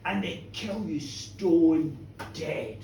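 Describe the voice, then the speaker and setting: A woman's voice: sits low, very slow, gravelly, warm tone. A woman telling a folk tale live in a theatrical, colloquial way, repeating phrases for effect. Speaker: storyteller. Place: indoor bar, live performance. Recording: echoey bar, distant mic, background noise.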